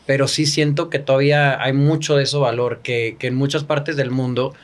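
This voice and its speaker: Brief: male interviewer, mid pitch, hesitant and filler-heavy. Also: little pitch movement, normal speed. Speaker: interview host. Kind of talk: casual interview.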